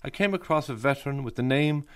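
A male reporter in the field, asking measured, slightly hesitant questions and then narrating. His voice sits at an ordinary middle pitch, recorded outdoors. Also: slight background noise. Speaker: radio reporter. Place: outdoors at a ceremony.

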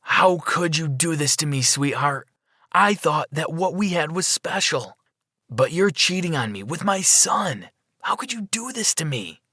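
A man's voice: medium pitch, lively intonation, normal speed, pushed and bright, dry recording, clean studio sound. A man playing an emotional, melodramatic middle-aged father in a narrated story. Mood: heartbroken.

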